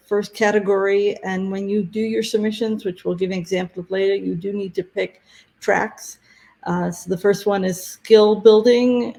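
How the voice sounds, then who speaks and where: A woman presenting conference deadlines and tracks in a webinar, talking haltingly with many fillers, her voice going hoarse. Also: mid-range voice, warm tone. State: losing her voice.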